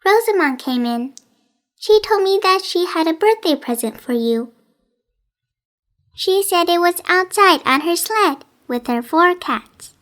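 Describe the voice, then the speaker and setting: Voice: extremely high pitch, lively intonation, a little slow, a bit bright, pitched above the performer's own voice, exaggerated voice. A woman voicing a friendly, chatty young girl with an eager, lilting delivery in a children's story. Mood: bright, eager.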